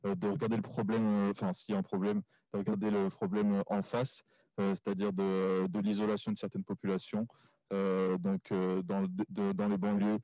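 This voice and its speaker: A man giving an impromptu comment from a debate audience, haltingly searching for his words. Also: fairly low pitch, level tone, warm tone, no room sound. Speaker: audience participant. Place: small crowded café room. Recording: dull, lo-fi event recording.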